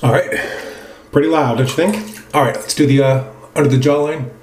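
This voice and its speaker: A man's voice: fairly high pitch, steady tone, normal speed, a little mellow, slight background noise. Man chatting casually in an echoey room. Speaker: presenter of a wet shaving video. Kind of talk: casual product review chat while shaving. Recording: roomy, echoing indoor sound.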